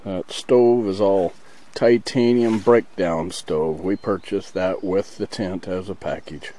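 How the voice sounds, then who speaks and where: A man hosting an outdoor vlog, explaining in a casual, halting, step-by-step way from behind a handheld camera. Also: sits low, warm tone. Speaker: vlog host. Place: outdoors at a riverside camp in the backcountry. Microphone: handheld camera, speaker behind it. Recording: outdoor camcorder audio, faint ambient noise.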